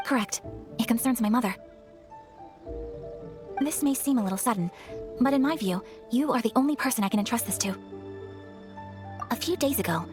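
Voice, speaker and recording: An actress plays a young noblewoman in an anime game, gentle, formal and earnest. Her voice is on the high side and her polite phrases move quickly, with music clearly behind her. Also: lightly acted. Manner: formal, polite, carefully articulated. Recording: background music under the voice.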